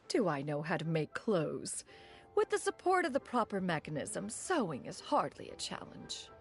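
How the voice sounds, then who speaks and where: Haughty immortal mentor, game character, expressive pitch, formal old-fashioned diction, faint music underneath. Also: female voice, unhurried, creaky, exaggerated voice. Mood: composed, haughty. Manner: archaic, formal, pompous phrasing. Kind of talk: scripted video game voice acting.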